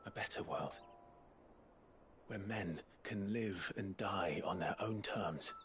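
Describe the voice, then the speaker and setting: Earnest fantasy game hero: low, slow, solemn, resolute, over prominent music. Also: male voice, fairly bright tone. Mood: solemn resolve. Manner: solemn, measured, determined. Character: earnest, resolute young nobleman and freedom fighter. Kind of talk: dramatic video game acting.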